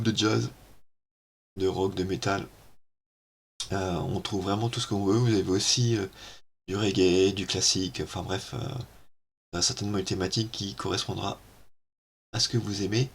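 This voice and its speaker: A man walking through a software tutorial at his computer, hesitant and full of filler sounds, on an amateur setup. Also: fairly low pitch, fairly even intonation, moderate pace, gravelly, long pauses, breathy edge. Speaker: tech YouTuber. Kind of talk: casual screen-recorded software tutorial.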